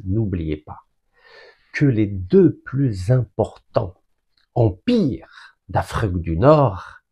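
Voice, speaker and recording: Slow gravelly low-voiced man. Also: warm tone. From Algeria. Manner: emphatic, deliberate, building lists. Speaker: political speaker. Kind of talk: public speech.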